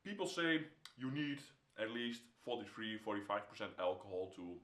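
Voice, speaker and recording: Young Dutch-accented guy, lowish voice, relaxed home whiskey review, camera mic in a roomy-sounding room. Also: moderate pace. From Netherlands. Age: young adult. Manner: relaxed, repeats words, drops to a whisper while tasting. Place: indoor home room, seated in armchair.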